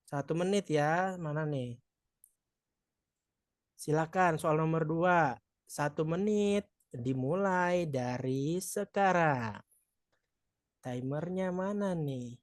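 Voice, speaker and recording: A man's voice: a little high, slow, long pauses, dry recording, clean studio sound. A man from Madura with a Madurese accent, tutoring a live online exam practice session, chatty and encouraging, joking with participants.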